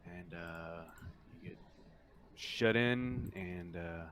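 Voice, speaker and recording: A man with a fairly low voice acts as game master at a tabletop session. He explains the setting casually and conversationally, feeling his way through sentences in a halting, informal manner. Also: fairly bright tone, a little hiss or hum.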